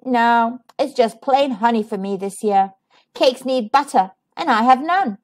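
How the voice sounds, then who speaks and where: A woman voicing a friendly, mild male bear in a children's storybook, with gently emphatic, plain-spoken delivery. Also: on the high side, unhurried, close to an everyday voice. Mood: resigned.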